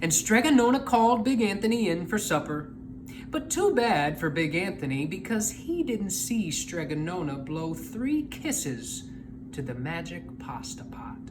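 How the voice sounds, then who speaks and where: Very animated male children's storyteller. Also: high-pitched, a little slow, noisy background. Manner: animated, expressive. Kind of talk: expressive children's picture-book reading.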